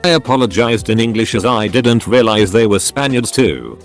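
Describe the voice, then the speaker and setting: A man narrating Reddit posts aloud, reading the stories and their edit notes in an even, uninvolved way. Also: lowish voice, normal speed, faint music behind.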